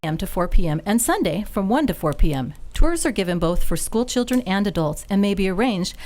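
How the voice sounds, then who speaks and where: A woman's voice: medium pitch, lively intonation, brisk, darkish tone. A woman reading an informative promotional announcement. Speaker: museum ad narrator. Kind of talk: scripted promotional read.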